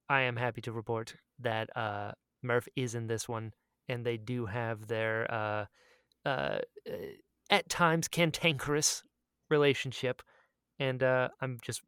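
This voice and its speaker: A man co-hosting a podcast in casual chat, speaking in an excitable, emphatic way and often repeating himself for emphasis. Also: mid-range voice, clean tone, no room sound, clean studio sound. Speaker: podcast co-host and audio drama creator.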